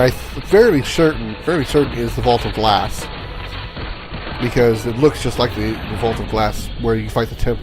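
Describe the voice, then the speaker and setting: A man hosting a casual let's-play, stuffy and sniffling from a sinus infection, rambling in halting asides. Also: medium pitch, poor audio. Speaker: gaming channel host.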